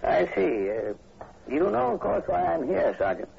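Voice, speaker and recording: Creaky-voiced, inquisitive professor, old radio. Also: male voice, a little high, very animated, full character voice. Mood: probing, thoughtful. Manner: measured, hesitant, probing, deliberate. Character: inquisitive male university professor investigating eerie killings. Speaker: radio drama actor. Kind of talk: 1940s radio horror drama acting. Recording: muffled, hissy old radio recording.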